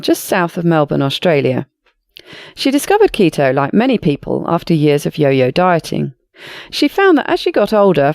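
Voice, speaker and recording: Woman, podcast host, croaky from a cold, lively intonation, dry sound. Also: on the low side, no room sound. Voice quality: croaky, snotty. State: hoarse with a cold. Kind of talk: scripted intro then casual chat.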